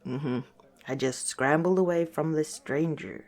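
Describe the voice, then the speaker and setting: A woman voicing a gentle, wistful female orc tavern owner in a soft-spoken, hesitant ASMR roleplay. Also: sits low, measured pace. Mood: confiding.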